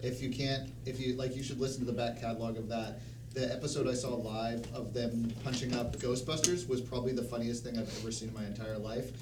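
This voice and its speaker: A man's voice: medium pitch, fairly even intonation. Man chatting in a roomy, noisy space. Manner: enthusiastic, halting as he searches for words. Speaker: discussion panelist. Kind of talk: casual chat. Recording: roomy, distant mic, noisy background.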